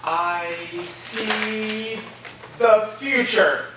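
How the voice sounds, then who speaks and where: High-voiced earnest student crewman, played by a man. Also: extremely slow. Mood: curious. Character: young male student crewman, earnest, agreeable, admiring. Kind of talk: staged play reading. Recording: echoey hall, far mic, background noise.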